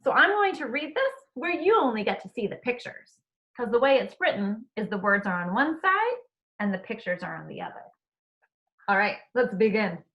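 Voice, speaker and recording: A woman teacher reading a storybook aloud expressively, addressing young listeners in an animated way. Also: a little high, muffled recording.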